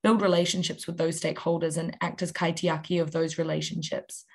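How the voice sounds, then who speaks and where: A young woman, a youth climate activist answering interview questions in an articulate, steady way. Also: sits low, a little fast, slightly rough. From New Zealand. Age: young adult.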